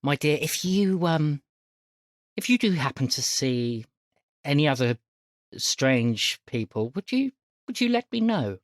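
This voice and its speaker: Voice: medium pitch, sing-song, no echo, studio quality. A game master voicing a composed, polite elderly woman in a tabletop game, speaking formally and calling people dear. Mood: polite, knowing. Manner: formal, measured, addresses others as dear.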